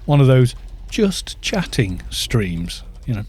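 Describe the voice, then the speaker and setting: A man's voice: mid-range voice, big pitch swings, slow pace, darkish tone, breathy edge. A British man in his fifties chatting in a rambling way while streaming a game and reading out viewer chat. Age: 50s. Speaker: gaming streamer.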